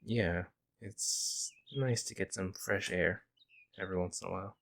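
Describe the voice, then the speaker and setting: A man playing a friendly young werewolf, a lone wolf who talks casually and teases gently in an ASMR audio roleplay. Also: deep voice, steady tone, measured pace, gravelly, heavily acted. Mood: casual, musing. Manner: casual, hesitant musing, gently teasing. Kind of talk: ASMR audio roleplay, intimate conversational acting.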